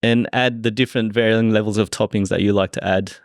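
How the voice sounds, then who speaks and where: A man's voice: on the low side, steady tone, quick pace, no echo, clean studio sound. A middle-aged man with an Australian accent, co-hosting a casual food and wine chat in an explanatory, conversational way. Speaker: podcast co-host.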